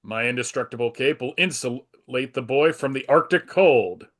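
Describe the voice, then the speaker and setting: Heroic adult male lead, read by a man: earnest, reassuring, expository, mid-pitched, for a comic table read. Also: normal speed, fairly bright tone, lightly acted.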